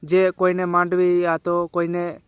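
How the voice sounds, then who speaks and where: Male community commentator, high pitch, dry sound, colloquial and repetitive halting delivery. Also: monotone, clean tone, dry recording. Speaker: video creator and community commentator. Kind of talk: informal social media commentary addressed to viewers.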